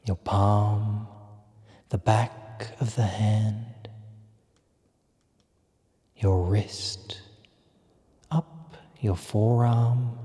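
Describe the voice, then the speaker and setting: Slow, low-pitched man leading meditation, heavy reverb. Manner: hushed, soothing, measured instruction with breathy phrase endings. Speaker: guided meditation narrator. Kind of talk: slow guided relaxation script. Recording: long reverb on the voice.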